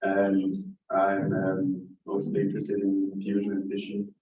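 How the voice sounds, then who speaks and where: A male student introducing himself briefly in a plain, halting way. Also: sits low, completely flat delivery, reverberant, recorded from a distance, narrow, tinny audio, a little hiss or hum.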